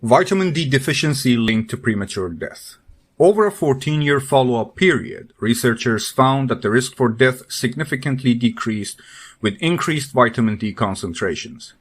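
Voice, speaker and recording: A man's voice: medium pitch, expressive, moderate pace. A man reading scripted exam practice passages aloud.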